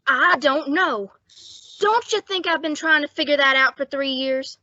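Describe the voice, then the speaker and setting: A woman acts a scared young male fugitive in a radio drama, stammering and desperate. The voice is high and sharply bright. It sounds close and dry, though a little muffled. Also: no echo. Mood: desperate, defensive. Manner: halting, stammering, pleading. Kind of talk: old-time radio melodrama acting.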